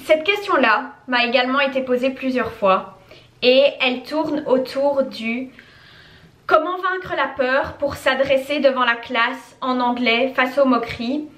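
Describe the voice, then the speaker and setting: A woman's voice: high voice, a little slow, a bit bright. A young woman from Belgium chatting casually to camera as a YouTuber, answering viewer questions and repeating words for emphasis. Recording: echoey room.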